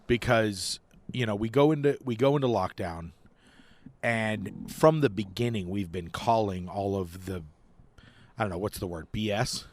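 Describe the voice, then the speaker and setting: A young man co-hosting a casual podcast, chatty and quick to laugh, recorded outdoors on a porch. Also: fairly low pitch, a little hiss or hum. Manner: chatty, laughs often, talks over his co-host. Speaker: podcast co-host. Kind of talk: casual chat.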